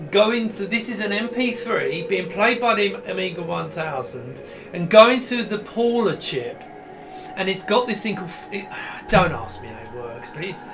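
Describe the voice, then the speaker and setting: A middle-aged man giving a casual, enthusiastic hands-on demo to camera, rambling and often breaking off mid-sentence. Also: high-pitched. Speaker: host demonstrating a vintage computer. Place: small indoor home room with computer gear.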